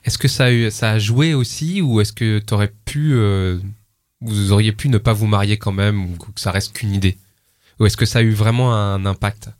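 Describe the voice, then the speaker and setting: A man interviewing a guest, asking probing questions in a halting, apologetic way. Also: lowish voice, a little mellow. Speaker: interviewer host. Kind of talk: conversational interviewing.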